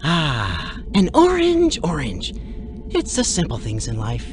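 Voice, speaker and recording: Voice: mid-range voice, huge pitch swings, slow pace, background noise. An actor playing a laid-back, food-loving, wisecracking young guy in an educational cartoon game. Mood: wry. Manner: playful, wry.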